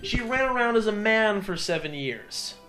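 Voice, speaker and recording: A man giving casual, joking video game commentary, animated and a little profane. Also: sits high, normal speed, faint music behind. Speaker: let's player and commentator.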